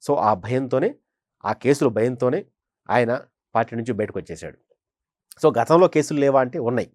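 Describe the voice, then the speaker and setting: Casting: male senior journalist, middle-pitched, emphatic and repetitive pundit delivery, dry close recording. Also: animated, normal speed, darkish tone, dry recording. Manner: emphatic, repeats phrases for stress. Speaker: senior journalist, political analyst. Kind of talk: conversational political commentary.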